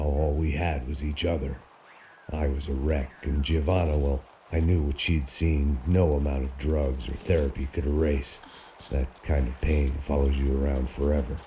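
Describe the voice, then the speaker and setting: A world-weary noir ex-cop. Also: male voice, a bass voice, little pitch movement, moderate pace, slight background noise. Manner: gravelly, cynical, world-weary. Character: weary hard-bitten older male ex-cop. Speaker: game protagonist and narrator. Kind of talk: noir voice-over narration. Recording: game audio with loud music.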